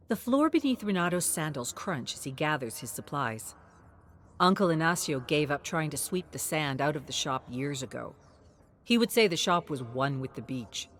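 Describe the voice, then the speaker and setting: A woman narrates a scripted tale in a low voice. Her intonation moves around in a lively, expressive way as she tells it at a measured storyteller's gait. A little ambient noise sits behind her. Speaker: narrator of character lore.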